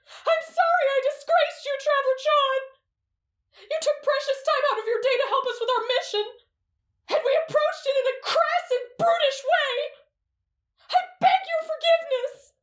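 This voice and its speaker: Woman plays a high, swooping, lovesick boy swordsman in a roomy fan audio drama. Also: moderate pace, fairly bright tone. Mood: dramatic pleading. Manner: gushing, dramatic, pleading.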